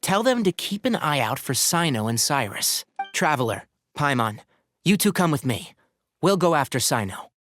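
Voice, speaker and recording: Composed young scholar, lively intonation. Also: male voice, on the high side, normal speed, no room sound. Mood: decisive. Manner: polite, composed, articulate, gently authoritative. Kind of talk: video game voice acting.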